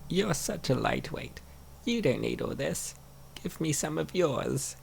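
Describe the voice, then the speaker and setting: A man reads out comic dialogue in character. His voice is heavily fried and gravelly, set a little higher than most men's. Faint noise sits behind the recording. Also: expressive, unhurried. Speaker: comic dub voice actor. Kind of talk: acted reading of comic dialogue.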